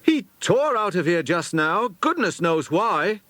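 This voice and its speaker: Man playing an excitable schoolboy, high-pitched. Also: measured pace, dry recording, heavily acted. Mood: excited, urgent. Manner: exclamatory, earnest. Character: eager, excitable schoolboy detective in children's adventure.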